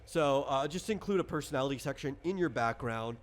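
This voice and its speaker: A man's voice: mid-range voice, animated, fairly quick. A man casually walking a player through a tabletop game as game master, rambling, explanatory and freely opinionated.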